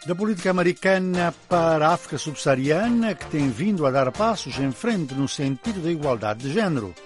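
A man presenting the news in a lively, sing-song way. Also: a little high, fairly quick, soft background music. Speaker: news anchor.

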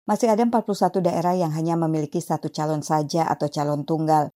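Woman reading a news report, quick, low soft-edged voice.

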